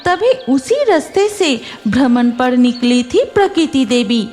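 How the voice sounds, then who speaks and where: Slow, high-pitched woman storyteller. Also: expressive, darkish tone, soft background music. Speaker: narrator. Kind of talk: scripted children's story narration.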